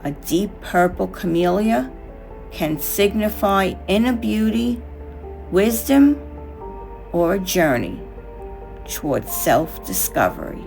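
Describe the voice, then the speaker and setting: A woman hosting a craft tutorial, narrating from a script in a measured, reflective way, in short phrases. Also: mid-range voice, very animated, vocal fry, darkish tone, soft background music.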